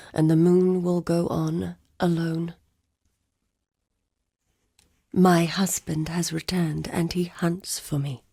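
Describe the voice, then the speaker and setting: A slow-spoken, haunted village mother. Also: fairly low pitch, vocal fry, darkish tone, breathy edge, dry recording, studio quality, full character voice. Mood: hushed, despairing. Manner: slow, grave, deliberate storytelling. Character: haunted, grief-stricken village wife and mother, fearful, devout. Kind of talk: dramatic video game journal narration.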